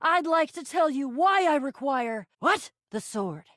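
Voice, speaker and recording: A scrappy, sarcastic young adventurer girl from a video game. Her voice sits high and moves around a lot, full of theatrical flourishes and wisecracks. The recording is close and dry, with no room sound. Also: expressive, measured pace, a bit bright, no echo. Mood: solemn, earnest. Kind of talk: video game character dialogue.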